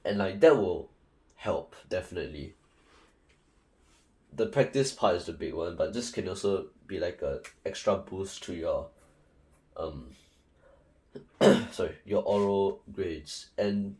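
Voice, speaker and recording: A man's voice: low-pitched, expressive, slow, long pauses, a little mellow. A young man from Singapore with a Singaporean English accent, giving casual, rambling study advice full of fillers and restarts. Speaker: student tutor.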